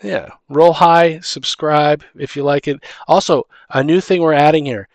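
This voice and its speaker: A man's voice: fairly high pitch, measured pace, no echo. A man hosting a casual tabletop gaming chat, rambling and restarting his phrases as he gives advice as a dungeon master. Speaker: podcast host and dungeon master.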